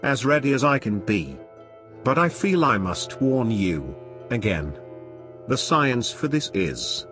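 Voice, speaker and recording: A man playing a pompous, sardonic robot animus, exasperated and theatrical, in a scripted comedy sketch, with a husky voice. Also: medium pitch, very animated, slow, over music. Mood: weary sarcasm. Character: fussy, exasperated robot eyeball, a sarcastic male animus.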